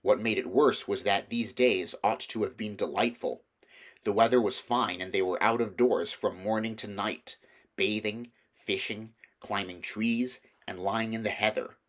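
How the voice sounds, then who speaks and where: A man's voice: on the low side, steady tone, measured pace. A man reading a children's novel aloud as scripted storybook narration. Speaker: audiobook reader.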